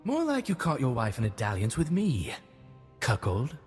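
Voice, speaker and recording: Cocky noble son, husky, hugely animated pitch, mocking defiant game delivery. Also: male voice, moderate pace, big-room sound, soft background music. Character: insolent young noble son, cocky rake. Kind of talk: video game dialogue.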